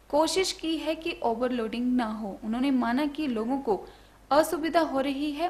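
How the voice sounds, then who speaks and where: A woman reading a scripted news voice-over in an even, formal way. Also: sits high. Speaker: news reporter.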